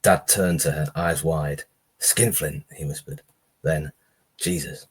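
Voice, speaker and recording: A man's voice: very deep voice, steady tone, slow pace, husky. A man who is an author, giving a live reading of his own pulpy horror story.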